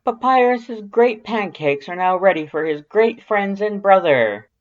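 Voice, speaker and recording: Narrator putting on male skeleton monster, high and very loud, bellowing, grandiose, exuberant, fan story character, slightly dull audio. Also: expressive, unhurried, natural voice. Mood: proud, exuberant.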